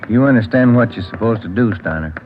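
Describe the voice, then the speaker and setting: A man with an American accent playing a steady, plain-spoken detective partner in a casual 1940s radio style. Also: on the low side, fast, lightly acted. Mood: businesslike. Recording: muffled, narrow-band old radio recording, faint noise.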